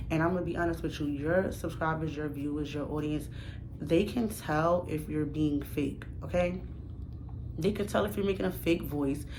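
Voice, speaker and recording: A young woman with a low voice and a New York accent talks straight to her camera in a casual vlog. She is emphatic and direct, with plenty of slang, and she keeps circling back to the same points. Steady background noise sits under her voice. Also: rough recording. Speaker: new YouTuber.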